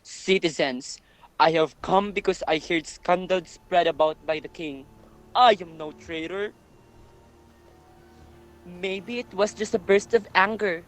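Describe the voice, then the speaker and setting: A man's voice: high-pitched, measured pace, long pauses, fairly bright tone, soft background music, low-quality recording. A man playing a reasonable but indignant nobleman in a readers' theatre tragedy, earnest and formal. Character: reasonable, indignant royal brother-in-law, wrongly accused nobleman.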